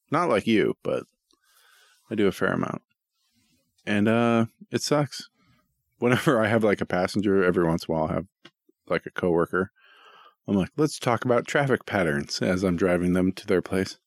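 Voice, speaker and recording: A man's voice: deep voice, big pitch swings, normal speed, creaky, long pauses, dry recording. A middle-aged American man chatting casually as a podcast co-host, rambling and self-deprecating, with some easy swearing. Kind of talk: casual chat.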